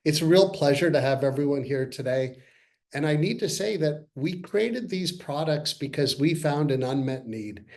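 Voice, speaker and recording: A man's voice: on the high side, expressive, moderate pace, darkish tone. Earnest man in his sixties on a call. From Canada. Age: 60s. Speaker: company co-founder presenting to investors. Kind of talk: webinar presentation. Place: parents' home, by video call.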